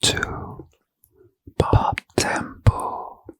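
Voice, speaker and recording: A man reading scripture in a slow, soft unvoiced ASMR whisper as a host. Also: no room sound, studio quality.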